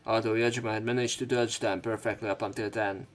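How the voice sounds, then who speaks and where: A man's voice: lowish voice, fairly even intonation, quick pace. A man reading a visual novel aloud as a let's play narrator, mixing in casual, crude joking asides. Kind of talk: reading game text aloud with commentary. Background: faint game music.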